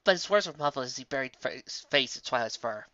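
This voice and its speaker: Low-voiced woman reading a story expressively. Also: animated, fast, fairly bright tone, dry recording. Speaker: narrator, fan fiction reader. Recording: dull, muffled audio.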